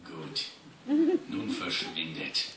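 A man's voice: extremely low pitch, level tone, extremely slow, pushed and bright, heavily acted. Man playing pompous villain lion in echoey hall. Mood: disdainful. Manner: pompous, commanding. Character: haughty, irritable male lion king, villain. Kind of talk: live amateur radio play. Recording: echoey hall, distant handheld phone, noisy.

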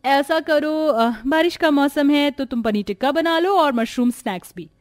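Cartoon rich young madam played by a woman, high-pitched, bossy, looking down on others. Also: normal speed, close to an everyday voice. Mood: haughty. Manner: bossy, dismissive. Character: rich, haughty young female employer.

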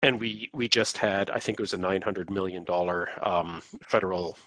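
A Canadian man presents slides in a webinar with a low, deep voice. His delivery is informal and explanatory, with a hesitant, halting flow. The sound is dry, with very little room in it. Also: a bit bright, no echo.

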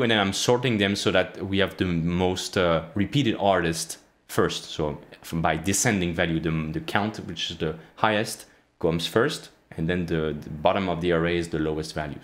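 Man, 30s, low voice; relaxed coding-tutorial host, halting and full of fillers, recorded close in a small room. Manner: halting, many fillers and restarts. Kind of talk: casual screen-share coding walkthrough. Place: small indoor room, plain wall.

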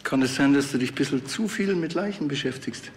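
An actor playing a dry, unflustered small-town police officer with a Bavarian accent in a TV crime comedy. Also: male voice, mid-range voice, animated, fairly quick, in a large hall, slight background noise, natural voice. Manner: laconic, dry.

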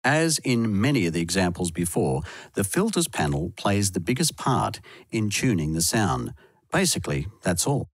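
Low-voiced man narrating a tutorial. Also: sing-song, moderate pace, no room sound, studio quality. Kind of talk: scripted instructional narration. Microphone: close voice-over mic, dry studio sound.

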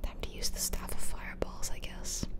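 A woman whispering casual, rambling ASMR commentary over gameplay, close to the mic. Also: normal speed. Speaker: gaming ASMR creator. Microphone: close mic for ear-to-ear whispering.

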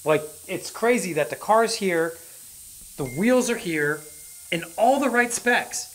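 A man in his thirties hosting a car vlog, talking fast and with energy while working in a tire workshop. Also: high-pitched, lively intonation, fairly bright tone, slight background noise, low-quality recording. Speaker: car builder and vlog host. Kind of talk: casual on-camera vlog. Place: indoor tire workshop garage.

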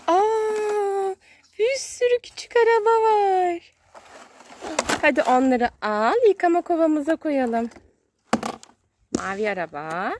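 High pitched lady talking slow and playful to a little kid, sing-song, outside w slight background noise. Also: expressive. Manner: sing-song, exclaiming, simple short phrases. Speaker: children's video host playing with a child. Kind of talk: playful child-directed play narration. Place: outdoors on grass in a garden.

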